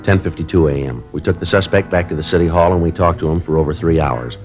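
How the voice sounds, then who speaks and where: An actor playing a clipped, matter-of-fact police sergeant who questions a suspect and narrates the case in a 1950s radio drama. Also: male voice, sits low, fast, muffled recording, music in the background, lightly acted. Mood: narrating. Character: terse, dogged middle-aged male police sergeant.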